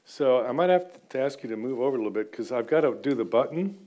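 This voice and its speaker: A man's voice: medium pitch, lively intonation, fast, warm tone, dull audio. Self-deprecating older male lecturer at a lectern. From United States, half Guatemalan. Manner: chatty and self-deprecating, with frequent filler sounds. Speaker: seminary lecturer. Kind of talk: informal academic lecture. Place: lecture room with audience. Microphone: far camera, standing at lectern.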